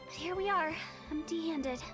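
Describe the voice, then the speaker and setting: A woman's voice: very high voice, normal speed, over music. A woman playing a quirky, confident young funeral parlor director in an anime-style video game, breezy and teasing. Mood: explaining.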